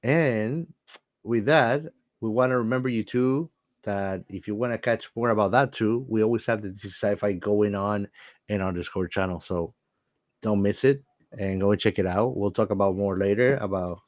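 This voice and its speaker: Male podcast co-host, fairly low pitch, casual, enthusiastic, repeats words for emphasis, dry recording. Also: fairly even intonation, moderate pace, dry recording.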